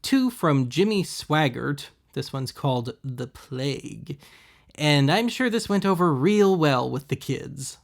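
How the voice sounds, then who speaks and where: Male host, somewhat higher-pitched with plenty of vocal fry, sardonic, casual voice-over commentary. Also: lively intonation, slow pace. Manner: wry, sarcastic. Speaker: host of a thrift-shopping video series.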